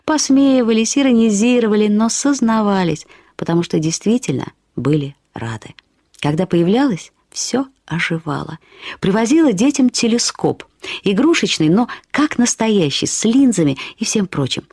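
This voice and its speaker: An actress narrating a short story as a woman fondly and ironically recalling a friend, with expressive, slightly husky delivery. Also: medium pitch, normal speed, dry recording, studio quality. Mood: fond, wry. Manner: acts out quoted remarks with lively intonation. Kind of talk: expressive literary storytelling, first-person reminiscence.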